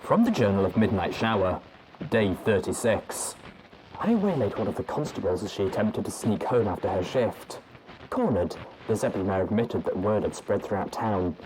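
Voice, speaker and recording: A man reading a story's journal entries aloud in a measured, formal way. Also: fairly low pitch, expressive, darkish tone, a little hiss or hum, poor audio. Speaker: narrator. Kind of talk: audiobook narration of journal entries.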